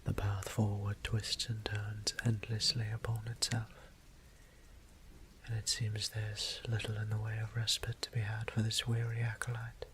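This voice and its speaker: A man playing a weary, courteous immortal vampire priest in an audio drama, speaking formal, old-fashioned English in a breathy, gravelly near-whisper. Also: on the low side, completely flat delivery, measured pace, fairly bright tone, a little hiss or hum. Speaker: voice actor. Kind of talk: scripted audio drama monologue.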